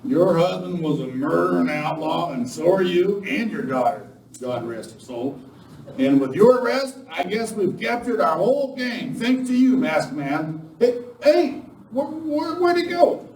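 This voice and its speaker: Man playing a high-voiced small-town sheriff, echoey. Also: expressive, unhurried, a little mellow. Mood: firm. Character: plain-spoken small-town Western sheriff. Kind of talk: amateur live Western radio play. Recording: echoey room, distant mic.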